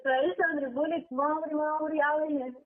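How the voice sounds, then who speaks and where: A woman's voice: high voice, fairly even intonation, moderate pace. A woman, chatting casually. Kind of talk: casual phone chat. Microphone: phone line. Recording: narrow, tinny phone call recording.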